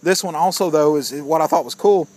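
A man's voice: on the high side, a little fast, darkish tone. A man giving a casual outdoor gear review, plainspoken and opinionated, often calling his viewers "guys". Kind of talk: casual product review vlog.

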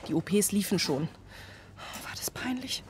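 Stressed single mom played by an actress in a radio play, clipped list-like lines, music under it. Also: female voice, medium pitch, animated, measured pace, in a whisper, close to an everyday voice. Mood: bitter, embarrassed. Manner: clipped, list-like, drilled phrasing. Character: stressed, driven single mother and surgical nurse. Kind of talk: radio drama monologue and dialogue.

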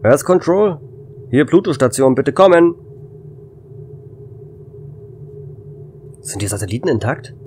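A man's voice: mid-range voice, sing-song, normal speed, darkish tone, a little hiss or hum, close to an everyday voice. A man playing a tense space station operator in an amateur German sci-fi audio drama. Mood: businesslike.

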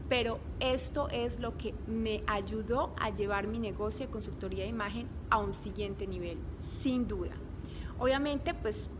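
A woman's voice: on the high side, noisy background. A woman in her thirties, raised in Colombia, tells viewers her story as an image consultant, in a flowing way aimed straight at the audience, from a living room at home. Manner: warm, flowing, addresses viewers directly. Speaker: image consultant and online course creator. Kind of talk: personal story to camera.

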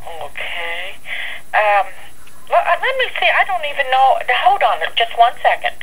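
A woman working at a shop, answering a customer over the telephone in an unsure, halting way. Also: a little high, sing-song, a bit bright, husky. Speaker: flooring shop employee. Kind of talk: customer service phone call. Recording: phone call played over speaker.

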